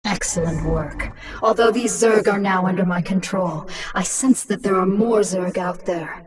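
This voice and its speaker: A woman's voice: medium pitch, measured pace, recorded from a distance, over a noisy crowd, lightly acted. An actress voices a menacing alien queen from a video game, a cunning and sardonic woman in command. She is taunting and self-assured, heard a little off the mic over a clamor of many sounds. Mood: scheming.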